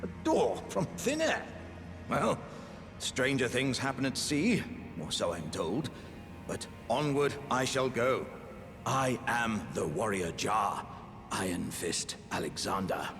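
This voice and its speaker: Pompous British warrior jar, swooping delivery. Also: male voice, mid-range voice, big pitch swings, slow pace. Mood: jovial, puzzled. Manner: grandiose, jovial, old-fashioned gentlemanly phrasing. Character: hearty, pompous, good-natured living warrior jar. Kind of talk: theatrical fantasy game acting. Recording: music behind the voice.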